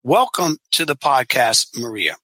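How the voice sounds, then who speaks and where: A man's voice: mid-range voice, sing-song, measured pace, bright, forceful tone, dry recording. A man hosting a community podcast, welcoming and questioning a guest in a courteous way with small restarts. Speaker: podcast host.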